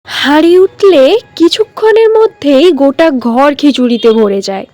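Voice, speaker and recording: Story narrator: woman, high voice, unhurried, close dry sound. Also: dark tone, no room sound. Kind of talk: scripted cartoon story narration.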